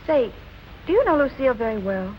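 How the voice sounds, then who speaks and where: Determined young woman detective, highish voice, brisk, 1940s noir film, light background noise. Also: sing-song, muffled recording. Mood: intent, probing. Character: determined, stubborn young amateur sleuth woman. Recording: noisy old film soundtrack.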